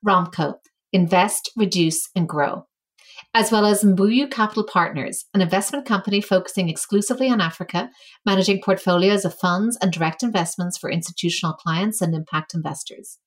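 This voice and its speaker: A woman announcing a podcast's sponsors in a measured, scripted read. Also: mid-range voice.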